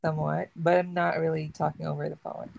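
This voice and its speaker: A woman who works in chat support, speaking softly and politely in a calming customer-service manner as a guest on a video call. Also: low voice, a little fast, vocal fry. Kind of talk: casual live stream chat.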